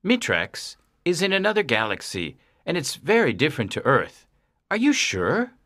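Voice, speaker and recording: A woman's voice: low voice, wildly swooping pitch, normal speed, a bit bright, heavily acted. A woman voicing a secretive alien man in disguise, reading character dialogue from a story. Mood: explaining calmly. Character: disguised male alien, secretive, startled, from distant planet.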